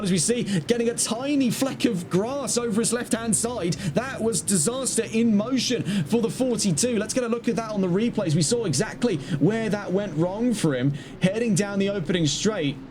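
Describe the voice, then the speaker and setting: A man calling a live online sim race, brisk and chatty, breaking off now and then to talk to the chat. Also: on the high side, noisy background. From not Dutch. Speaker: race commentator. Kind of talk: live sim-racing play-by-play commentary.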